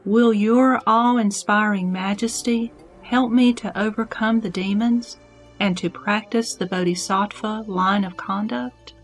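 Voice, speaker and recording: A devout royal disciple played by a woman speaks in formal, reverent phrases at a medium pitch. It is a scripture audio drama, with soft music under the voice. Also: steady tone, unhurried, close to an everyday voice. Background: background music.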